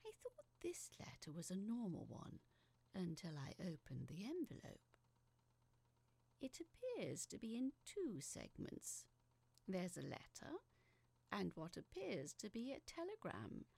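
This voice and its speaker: A woman playing a pensive narrator in an audio drama, talking softly and hesitantly to herself as she records. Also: medium pitch, huge pitch swings, unhurried, gravelly, breathy edge, exaggerated voice. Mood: hushed, pensive. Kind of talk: audio drama monologue, reading aloud.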